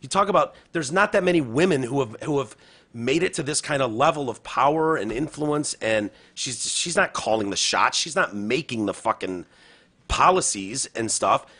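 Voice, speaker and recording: A man's voice: mid-range voice, lively intonation, moderate pace, fairly bright tone. A man co-hosting a podcast, chatting casually about politics, swearing freely and slipping into mocking impressions. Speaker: podcast co-host.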